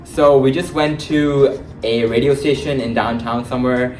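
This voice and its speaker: A native Hindi-speaking teenage boy answers interview questions earnestly, his sentences fading off at the end. He sounds a little distant in a roomy space. Clear music runs under his voice. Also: mid-range voice, steady tone, moderate pace. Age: late teens. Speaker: high school senior.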